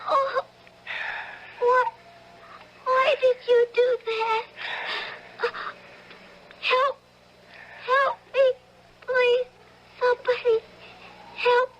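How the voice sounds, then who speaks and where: A woman's voice: very high-pitched, little pitch movement, very slow, pauses often, fairly bright tone, muffled recording, a little hiss or hum, heavily acted. A woman acting in an old-time radio drama as a terrified young working girl, breathless and pleading melodramatically. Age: young adult.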